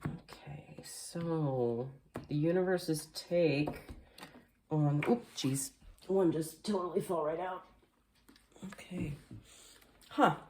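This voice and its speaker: A woman giving a casual tarot card reading, chatty and rambling with lots of fillers, a little nasal and hoarse from allergies. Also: deep voice, darkish tone, a little hiss or hum. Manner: chatty, rambling with many fillers, occasional coughs. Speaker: tarot reader. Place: indoors at a table at home. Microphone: camera facing the table, speaker mostly off screen.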